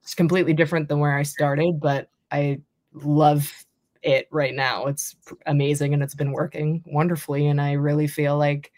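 A young woman singer-songwriter with a deep, slightly raspy speaking voice, talking casually in an interview and rambling with many restarts. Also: flat delivery, moderate pace, darkish tone, dry recording. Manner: rambling, lots of likes and restarts.

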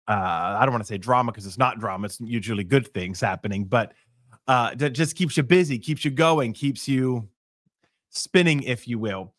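A man's voice: mid-range voice, fairly bright tone, dry recording, studio quality. A middle-aged man with a cold hosting a casual morning live stream, rambling with many restarts and fillers. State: congested with a cold.

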